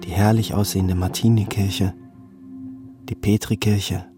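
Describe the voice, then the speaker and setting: Low-voiced man reading a diary over music. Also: little pitch movement, unhurried. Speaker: reader of a travel diary. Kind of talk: scripted literary narration, audiobook-like diary reading.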